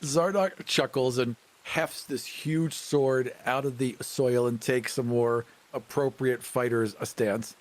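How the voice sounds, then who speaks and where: A man's voice: medium pitch, fairly even intonation, takes it slowly, few pauses. Male tabletop player, middle register, casual descriptive narration of his character's moves.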